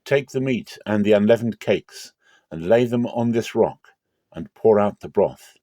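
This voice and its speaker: A man reads as the Almighty in a dramatic scripture recording. The delivery is measured and authoritative, with a low, mellow tone and a dry, close sound. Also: no room sound, close to an everyday voice. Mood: calm authority. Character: God and his angel, solemn commanding divine male.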